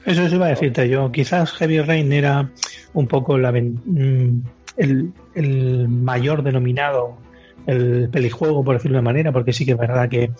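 A husky man discussing games casually on a podcast, explaining in a hesitant way. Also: medium pitch, moderate pace, dark tone. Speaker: podcast panelist. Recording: muffled podcast audio, music underneath.

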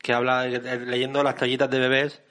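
A man co-hosts a casual podcast. His voice is very bright and sits at a middle pitch. He laughs easily and chimes in with quick agreement. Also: monotone. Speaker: podcast co-host. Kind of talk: casual chat.

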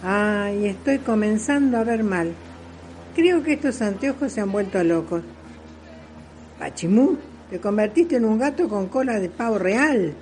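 A woman's voice: medium pitch, unhurried, a little hiss or hum, close to an everyday voice, poor audio. A woman playing a spirited, kindly old great-grandmother and retired aviatrix in a children's radio play, with an Argentine accent and exclaiming delivery. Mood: puzzled, eager. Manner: animated, exclaiming. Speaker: student voice actor.

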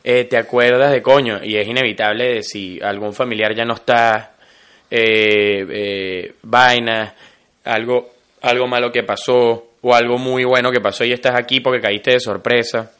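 Un hombre con acento venezolano, copresentador de un podcast, que conversa en tono informal, bromista y lleno de jerga. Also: male voice, medium pitch, unhurried, smooth. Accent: Venezuelan Spanish. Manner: joking, animated, full of slang. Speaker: podcast co-host. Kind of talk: casual chat.

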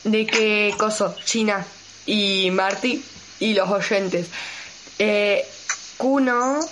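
A woman's voice: mid-range voice, steady tone, extremely slow, a bit bright, a little hiss or hum, poor audio. A young woman co-hosting a radio show, chatting casually in a slangy, rambling, jokey way. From Argentina.

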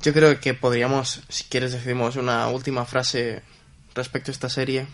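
A man's voice: mid-range voice, level tone, normal speed. Wry young Spanish man, joking. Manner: wry, joking, drops in English phrases. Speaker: podcast co-host. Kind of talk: casual chat.

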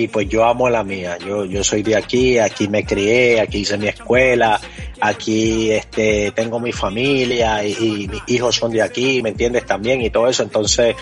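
A man from New York City chatting casually as an interview guest, with slangy, emphatic talk and frequent repeated phrases. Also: medium pitch. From New York City, United States. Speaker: music artist being interviewed.

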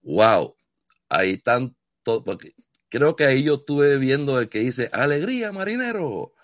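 A man's voice: mid-range voice, big pitch swings, measured pace, dry recording, clean studio sound. A man from Puerto Rico with a Puerto Rican accent, interviewing a guest in a casual video chat with enthusiastic, effusive praise. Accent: Puerto Rican Spanish.

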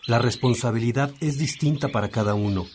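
An actor playing a fatherly, unhurried priest teaching his young brothers in a radio drama, with birdsong behind him. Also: mid-range voice, background noise, lightly acted. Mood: fatherly, content. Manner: fatherly, unhurried, addressing followers affectionately.

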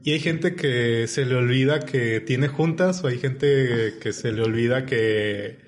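A man's voice: mid-range voice, takes it slowly. A man on a panel giving casual advice on job interviews, with frequent hesitant fillers. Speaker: panelist on tech careers.

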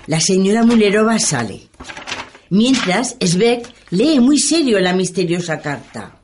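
A woman's voice: medium pitch, sing-song, unhurried, darkish tone, a little hiss or hum. A woman narrating a radio play in a dramatic, scripted storytelling style.

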